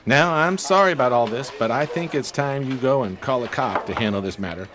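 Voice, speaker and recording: An actor playing a grandiloquent, gentlemanly homeless con man in a 1920s New York radio play, speaking in florid, over-polite phrases with a pompous theatrical manner. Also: mid-range voice, a little fast, noisy background, close to an everyday voice. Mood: courtly, unapologetic.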